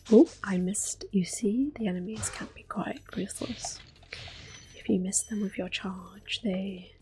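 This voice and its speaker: A woman speaking in a hushed, unhurried, gentle whisper as she gives ASMR game commentary. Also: mid-range voice, rough recording. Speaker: host of an ASMR gameplay series. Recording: clicking controller noise. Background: controller clicks.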